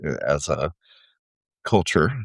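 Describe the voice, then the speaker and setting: A man co-hosting a podcast who talks in a halting, wry way and drops in dry jokes. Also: a bass voice, expressive, no room sound. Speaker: podcast co-host. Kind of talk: casual podcast chat.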